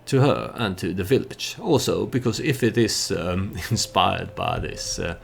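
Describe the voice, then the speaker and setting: A man hosting a let's play, giving storytelling game commentary in a halting way, as if thinking aloud. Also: sits low, lively intonation, creaky, husky.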